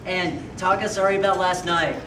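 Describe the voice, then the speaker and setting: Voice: mid-range voice, steady tone, normal speed. An audience member asking a casual, somewhat hesitant question at a convention. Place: large hotel convention panel room. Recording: distant, echoey hall, background noise.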